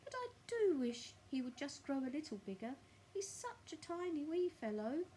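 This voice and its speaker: Storybook widow, acted by a woman: high, very animated, gushing, affectionate, drawn-out words. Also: a little hiss or hum. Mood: fretful, yearning. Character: sweet, gushing widow doting on her tortoise. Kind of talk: children's storybook reading.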